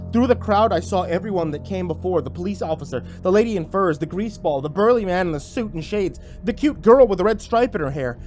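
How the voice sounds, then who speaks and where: A man's voice: high voice, darkish tone. A man giving an intense, theatrical first-person narration of a scripted horror story.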